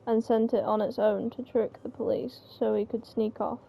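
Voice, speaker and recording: Higher-pitched creaky woman reading a story. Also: level tone, moderate pace, dark tone. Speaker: reader of the narration. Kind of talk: informal read-aloud of a story script. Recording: slightly muffled mic, faint background noise.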